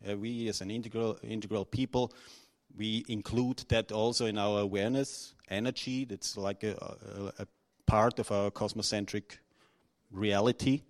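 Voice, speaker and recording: A middle-aged man from Austria, speaking unscripted to an audience, halting and nervous, with many restarts and fillers. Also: fairly low pitch, fairly even intonation. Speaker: conference speaker.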